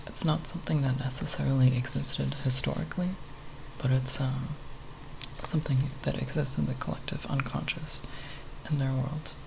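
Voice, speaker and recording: Whispering woman with deep, creaky voice. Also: level tone, noisy background. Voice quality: breathy whisper with creaky low voice. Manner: halting, unhurried, nerdy musing. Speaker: ASMR video creator. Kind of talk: whispered, rambling ASMR. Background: plastic bottle tapping.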